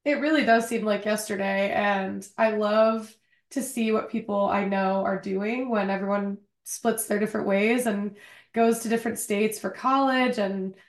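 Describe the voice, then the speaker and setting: A young woman hosting a podcast, talking in a chatty, upbeat way with quick asides. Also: medium pitch, steady tone. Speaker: podcast host. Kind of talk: casual podcast interview. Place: home in a cold city.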